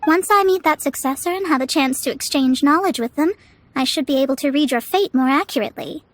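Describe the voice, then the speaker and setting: Woman as a haughty high-voiced young astrologist. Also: brisk, slight background noise, natural voice. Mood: confident, persuasive. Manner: formal, haughty, theatrical. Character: proud young female astrologist, lofty and self-assured. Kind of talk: video game voice acting. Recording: music behind the voice.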